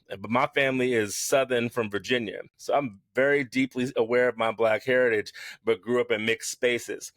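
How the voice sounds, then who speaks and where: A man speaking as a podcast guest and yoga teacher, frank and lively, laughing often as he talks. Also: mid-range voice, no echo. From United States. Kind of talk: candid conversational interview.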